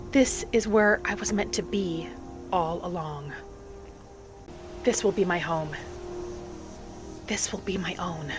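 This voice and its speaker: A woman plays a gentle young wood elf woman telling her own story in a fantasy game roleplay. She speaks softly and earnestly, in an unhurried, dreamy way. Quiet music runs behind her mid-pitched voice. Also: natural voice. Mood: tender, content. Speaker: roleplay series host. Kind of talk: in-character scripted narration, storytelling.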